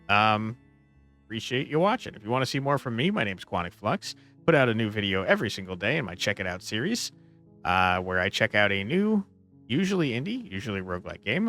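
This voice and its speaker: Male gaming host, bright lowish voice, informal, enthusiastic, animated, faint music behind. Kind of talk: casual game commentary, sometimes reading game text aloud.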